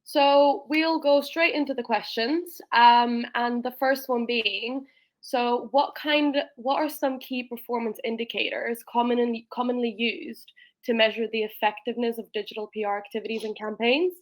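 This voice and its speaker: A woman's voice: a little high, fairly even intonation, normal speed, low-quality recording. A woman hosting a company webinar, introducing speakers and asking questions in a friendly way. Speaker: webinar host, marketing manager.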